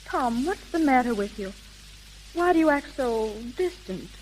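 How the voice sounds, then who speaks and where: An actress in a 1930s radio drama playing a sweet young society daughter with a coy, probing way of talking. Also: female voice, sits high, lively intonation, measured pace, darkish tone, poor audio. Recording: hissy, humming 1930s radio broadcast.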